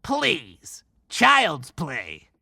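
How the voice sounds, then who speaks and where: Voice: mid-range voice, wildly swooping pitch, very slow, creaky, bright, forceful tone, breathy edge, no room sound, clean studio sound, heavily acted. An actor playing a cocky, tech-savvy sidekick creature with a snide, dismissive way of talking in a cinematic audiobook. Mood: smug.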